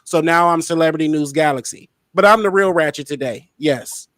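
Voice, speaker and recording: A man's voice: a little high, brisk, fairly bright tone, dry recording, studio quality. An outspoken, playful man hosting a casual interview and talking about himself. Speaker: interviewer, show host.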